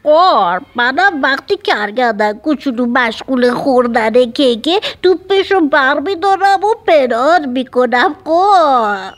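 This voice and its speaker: A woman's voice: high-pitched, lively intonation, few pauses. A woman voicing a bold, mischievous little storybook crow who caws as it talks. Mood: bold, scheming. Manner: bold, cawing.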